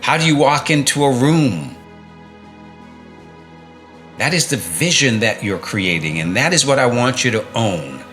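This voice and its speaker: A man's voice: mid-range voice, very animated, measured pace, long pauses, fairly bright tone, soft background music. A middle-aged man coaching viewers on fitness and mindset in an emphatic motivational talk, repeating key phrases and asking rhetorical questions.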